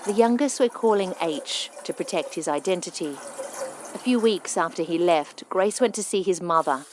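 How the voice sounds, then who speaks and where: A woman presenting a radio documentary, narrating a script in a measured, even way over a studio microphone. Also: on the low side, background noise. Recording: music bed underneath.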